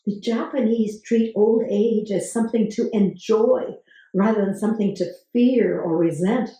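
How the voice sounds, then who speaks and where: A woman hosting a channel, talking to camera about research, with a gravelly voice today and a measured way of asking viewers questions. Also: medium pitch, warm tone. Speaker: host. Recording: very echoey room.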